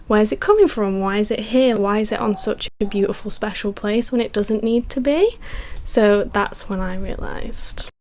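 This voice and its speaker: A woman's voice: mid-range voice, normal speed, darkish tone, slight background noise. A woman in her twenties giving earnest interview answers, with a habit of saying "like". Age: 20s. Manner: earnest, uses filler "like".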